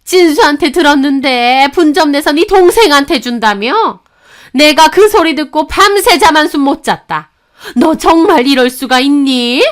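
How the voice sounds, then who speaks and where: A woman voicing a furious, entitled middle-aged mother-in-law in a dramatized story, shouting accusing questions. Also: sits high, expressive, moderate pace, voice pushed up. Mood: furious, scolding.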